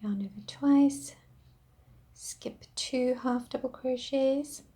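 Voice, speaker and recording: A woman talks you through a crochet pattern at an unhurried pace, counting each stitch aloud. Her voice sits a touch high and sounds a little worn, with a throat-clearing huskiness. She stays patient and gentle throughout. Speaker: crochet instructor. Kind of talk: step-by-step craft tutorial.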